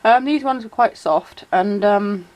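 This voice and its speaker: A woman with a cold talks in a croaky, slightly sore voice, chatty and loose with plenty of ums and uhs. Her pitch sits in the middle range for a woman. She walks through toy details in a relaxed vlog style. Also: measured pace, rough recording. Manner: chatty, many fillers, reads out shout-outs. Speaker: toy collector vlogger. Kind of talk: casual explanatory vlog.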